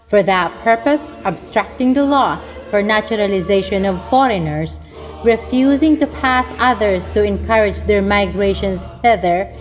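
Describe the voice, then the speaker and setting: A woman's voice: mid-range voice, normal speed. A woman reading a historical document in a formal style as a community reader. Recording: long hall reverb, faint music.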